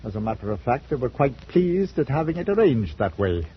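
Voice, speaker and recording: A man's voice: mid-range voice, very animated, slightly rough. An actor, a man, playing a stolid, fair-minded ship's captain who is plain-spoken and understated in an old-time radio drama. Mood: mild, matter-of-fact. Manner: understated, plain-spoken, hesitant with small pauses. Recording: muffled vintage radio recording with music.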